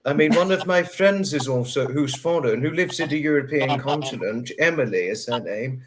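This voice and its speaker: A man's voice: medium pitch, normal speed, a little hiss or hum. A man hosting a livestream chat, polite, a little formal and apologetic in manner. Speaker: livestream host. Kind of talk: casual chat over a livestream.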